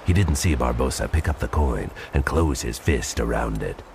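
A man's voice: rumbling low, expressive, moderate pace, creaky, a little hiss or hum. A man narrating a dramatic scripted adventure story as an audio drama narrator. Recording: loud music under the voice. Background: loud dramatic music.

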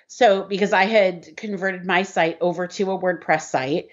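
A woman's voice: fairly low pitch, steady tone, moderate pace. A middle-aged woman chatting casually over a video call from home, rambling with lots of fillers. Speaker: website content writer. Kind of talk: casual chat. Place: home room. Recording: muffled video call audio.

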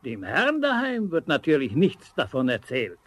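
Lively, high-pitched spooky storyteller. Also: male voice, moderate pace. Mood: scheming, persuasive. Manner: dramatic, conspiratorial, emphatic. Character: storyteller recounting a spooky local legend, knowing and ominous. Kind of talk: storybook radio play acting.

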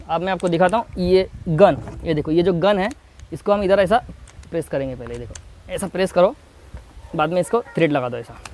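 A man's voice: high-pitched, darkish tone, slight background noise. A young man giving a casual unboxing and demo, chatty and talking straight to his viewers, recorded outdoors in a courtyard garden. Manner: chatty, talks straight to viewers, keeps checking in with "hai na". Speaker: product reviewer.

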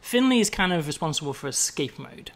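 A man's voice: on the high side, sing-song, a little fast, vocal fry, fairly bright tone. A man, a game designer, chatting easily with a colleague, laughing often and rambling with restarts. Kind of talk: casual chat with a colleague.

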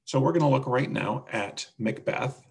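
A man presenting a casual software walkthrough on a video call, explaining things step by step. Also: mid-range voice, normal speed, creaky. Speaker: webinar presenter demonstrating a website.

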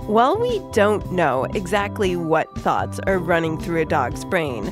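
Lively woman hosting over music. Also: on the low side, measured pace. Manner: playful, explanatory. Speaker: podcast host. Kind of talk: scripted narration for a kids' science podcast. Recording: music behind the voice.

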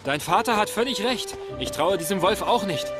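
A man's voice: high voice, lively intonation, normal speed. A man voicing a loyal, stubborn young pony with a cautious, affectionate manner in a dubbed cartoon. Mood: worried. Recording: music behind the voice.

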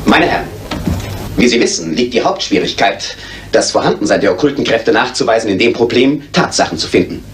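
An actor playing a pompous lord who lectures formally and insistently in a German radio drama. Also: male voice, medium pitch, lively intonation, a little fast, a little hiss or hum, lightly acted. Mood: lecturing. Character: pompous aristocratic male lord lecturing on the occult.

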